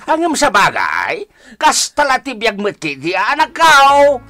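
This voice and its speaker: A man's voice: high voice, huge pitch swings, unhurried, sharp tone, no echo, soft background music, exaggerated voice. A man playing a fretful, scolding old Filipino father in a radio drama, exclaiming and repeating himself in a flustered way. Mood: nervous scolding. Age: older. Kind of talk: Ilocano radio drama acting.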